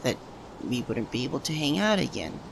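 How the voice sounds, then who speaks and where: A man playing a lovesick young man confessing to a childhood best friend in a halting ASMR roleplay monologue. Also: mid-range voice, big pitch swings, vocal fry, noisy background. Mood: sad, regretful. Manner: halting, breaks phrases with long pauses.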